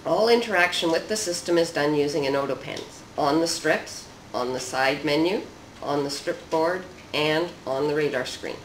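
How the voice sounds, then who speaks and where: A narrator of medium pitch reads a scripted technical demonstration. The delivery is measured and explanatory. The recording is roomy and echoey, with noticeable noise behind the voice. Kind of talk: scripted narration of a research demo.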